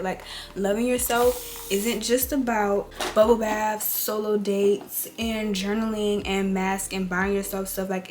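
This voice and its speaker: Hoarse young woman giving chatty advice. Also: mid-range voice. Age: 20s. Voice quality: raspy, rough. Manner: chatty, direct, addresses viewers as y'all and girl. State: hoarse from a cold. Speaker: beauty and lifestyle vlogger. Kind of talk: casual girl-talk advice.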